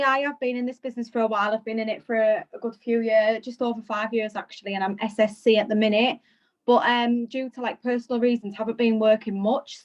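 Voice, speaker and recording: Woman guest, earnest, rambling, slightly muffled call audio. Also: fairly high pitch. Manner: earnest, rapid, heavy use of "like". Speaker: guest team member sharing takeaways. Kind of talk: casual chat on a video call. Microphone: video call, phone balanced on a bag.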